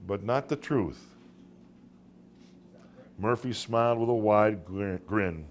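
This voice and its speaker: A man reading his own short story to a live room as a dramatic storyteller, with deliberate pauses and occasional stumbles. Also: lowish voice. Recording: live room recording with background noise.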